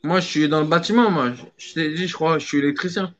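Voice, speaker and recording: A man's voice: on the high side, fast, a bit bright. A man, a guest in a casual live chat, talking emphatically and repeating his phrases over and over. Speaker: guest caller. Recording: dull lo-fi audio.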